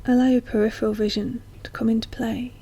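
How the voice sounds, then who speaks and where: A woman guiding a meditation in a soft, breathy, often near-whispered voice, speaking gently and slowly with long, soothing pauses. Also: a little high, level tone. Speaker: guided meditation teacher. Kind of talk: slow guided meditation narration.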